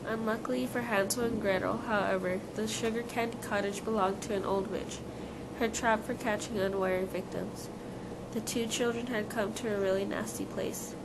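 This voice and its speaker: A woman narrator reads a scripted children's tale aloud. Her pitch is middling and stays quite flat, and there is clear noise in the recording. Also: moderate pace. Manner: reads aloud, stumbles on words. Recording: clearly noisy live recording.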